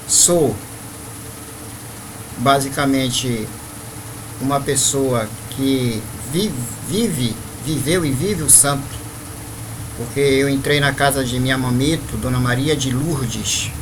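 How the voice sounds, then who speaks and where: A man in his fifties from northeastern Brazil, telling his spiritual life story to viewers at an unhurried pace with frequent tag questions. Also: mid-range voice, background noise. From Maranhão, Brazil. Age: 50s. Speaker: spiritual leader.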